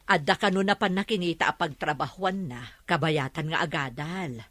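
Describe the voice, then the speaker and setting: A woman with an Ilocano accent playing a caring, anxious market-vendor mother in a radio drama, soothing and expressive. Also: deep voice, normal speed, no room sound. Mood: tired, worried.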